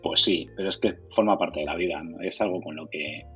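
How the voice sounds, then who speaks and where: A man's voice: low-pitched, fairly quick, a bit bright, breathy edge, muffled recording, faint music behind. A man from Spain with a Castilian accent, answering interview questions conversationally, sometimes repeating words as he searches for phrasing. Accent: Castilian Spanish. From Madrid, Spain. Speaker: interviewee, board game community organizer.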